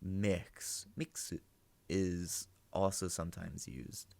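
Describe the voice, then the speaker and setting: A man's voice: low voice, fairly even intonation, slow pace, vocal fry, darkish tone. A man giving casual analytical commentary as a reaction host, thinking aloud and often checking with "right?". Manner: musing, thinking aloud, seeking agreement with "right?".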